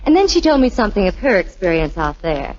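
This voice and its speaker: A woman with a very animated, mid-pitched voice chats as a spokeswoman in scripted 1940s radio style. The old recording is muffled. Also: quick pace. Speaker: advertising spokeswoman.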